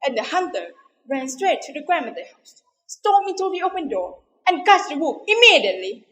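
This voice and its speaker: High-pitched woman telling a fairy tale dramatically. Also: very animated, moderate pace, a bit bright, a little hiss or hum. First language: Thai. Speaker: student storyteller.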